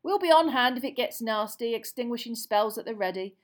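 A woman voicing a brisk, frank young male dragon keeper, emphatic and colloquial, in an audiobook. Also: on the high side, animated, pitched above the performer's own voice, close to an everyday voice. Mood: explaining. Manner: emphatic, matter-of-fact, colloquial. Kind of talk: audiobook character voice.

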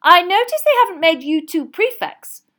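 High-pitched mother, acted by a female reader, bossy, exasperated, brisk, scolding, animated, storybook character. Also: full character voice. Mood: disapproving. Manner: brisk, scolding, shrill when upset. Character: bossy, exasperated middle-aged mother of a big family.

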